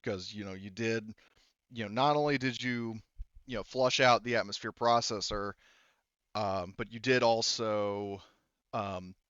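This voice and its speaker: A man running a tabletop game as game master, explaining things casually in a halting, repetitive way. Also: fairly low pitch, a bit bright, smooth, no room sound, highs cut off. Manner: halting, explanatory, repeats himself.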